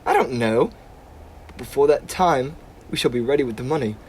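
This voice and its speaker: A young man acting a reassuring husband on a school stage, calm and steady. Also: medium pitch, moderate pace, a little hiss or hum, close to an everyday voice. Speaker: stage actor.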